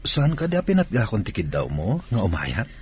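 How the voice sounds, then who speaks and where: A man's voice: fairly low pitch, wildly swooping pitch, moderate pace, slight background noise. A man playing a soldier and father in a radio drama, speaking firmly. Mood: gentle. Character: army father, busy and tender.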